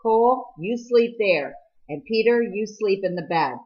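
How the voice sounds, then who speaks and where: A woman's voice: medium pitch, lively intonation, a little slow, muffled recording, voice pushed up, lightly acted. A woman voicing an easygoing, practical man in a novel, giving matter-of-fact instructions. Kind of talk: audiobook character dialogue.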